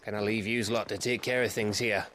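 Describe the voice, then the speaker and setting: An actor playing a plain-spoken, brisk soldier companion in a video game. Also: male voice, lowish voice, steady tone, noisy background, natural voice. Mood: brisk, practical. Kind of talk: video game cutscene acting.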